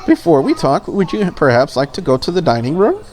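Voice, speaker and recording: A woman voicing a polite, deferential and curious maid in a visual novel, in a courteous, measured manner. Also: low-pitched, huge pitch swings, background noise. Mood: courteous, tactful. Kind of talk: visual novel character read aloud.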